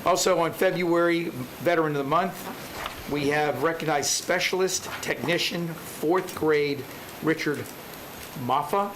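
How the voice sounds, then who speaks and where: Guy running the county board meeting, easygoing, kinda high voice, noisy background. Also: measured pace. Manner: relaxed, conversational chairing. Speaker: board chairman.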